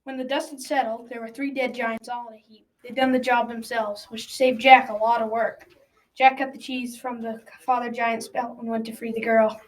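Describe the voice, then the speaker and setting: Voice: medium pitch, level tone, moderate pace, lightly acted. A young teen playing a kindly grandfather who tells a folktale in a school radio drama. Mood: storytelling. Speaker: storyteller narrator. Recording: distant mic, clicks and faint noise.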